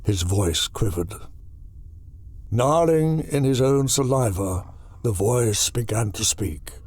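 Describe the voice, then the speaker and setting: A man narrating a horror audiobook in a deliberate, dramatic storytelling style. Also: lowish voice, lively intonation, a little mellow, dry recording.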